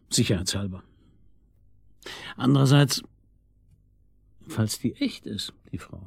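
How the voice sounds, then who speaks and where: An actor playing a wry, probing police detective in a radio drama, with a husky voice and a dry, colloquial manner. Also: male voice, medium pitch, a little mellow, no echo. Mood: musing. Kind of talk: naturalistic German radio drama acting.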